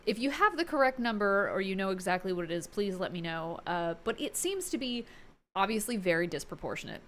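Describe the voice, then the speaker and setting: Chatty, enthusiastic woman vlogger. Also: mid-range voice. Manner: chatty, enthusiastic, informal slang. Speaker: wrestling fan vlogger, host. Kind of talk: casual opinion vlog to viewers.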